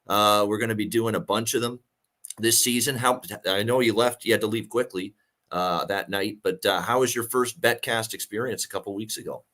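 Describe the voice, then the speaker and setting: A man with a gravelly voice worn from a night of singing, hosting a sports betting show in casual, rambling talk. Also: lowish voice, steady tone, moderate pace, pushed and bright.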